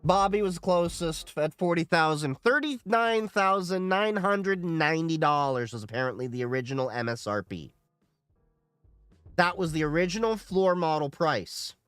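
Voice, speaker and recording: A man's voice: on the high side, expressive, moderate pace, fairly bright tone, smooth, no room sound, soft background music. A man hosting a casual livestream, reading chat questions aloud and giving opinionated answers.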